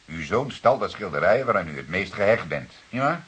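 A low-voiced, insistent police detective. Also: male voice, sing-song, fairly bright tone, a little hiss or hum. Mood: pressing. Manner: formal, deliberate, insistent questioning. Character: composed, probing male police detective, courteous but insistent. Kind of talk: Dutch radio play acting.

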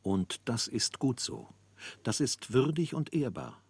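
A proud, earnest male factory worker in a literary radio play. His mid-pitched voice is husky and recorded very dry as he tells the workers' story. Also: huge pitch swings, unhurried, no echo, exaggerated voice.

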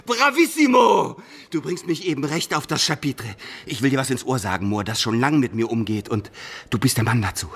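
Boastful schemer, wildly swooping voice. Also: male voice, medium pitch, animated, fairly quick, husky. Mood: gleeful, conspiratorial. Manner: theatrical, declamatory, swinging from conspiratorial to shouting. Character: boastful scheming student schemer, grandiose and mocking. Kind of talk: German radio play acting.

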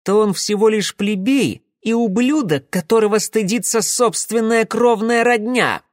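A male narrator puts on a young merchant's son for an audiobook. He is pitched well above the reader's natural voice, anxious and servile, yet puffed up with boastful self-importance. The sound is close and dry. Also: very animated, normal speed, no echo. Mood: scornful, condescending. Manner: fawning, pompous, self-important. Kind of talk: audiobook character voice.

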